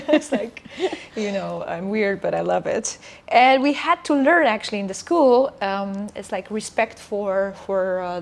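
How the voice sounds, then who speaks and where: A woman from Romania, a native Romanian speaker and opera soprano, talking reflectively in a casual interview with many fillers and restarts. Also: mid-range voice.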